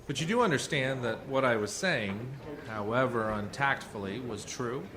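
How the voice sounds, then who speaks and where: A man's voice: mid-range voice, expressive, moderate pace, noisy background, lightly acted. A man acting in a radio play as a blunt, self-assured civilian interrogator and psychologist who talks in a dry, cutting way. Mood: dry, conciliatory. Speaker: actor.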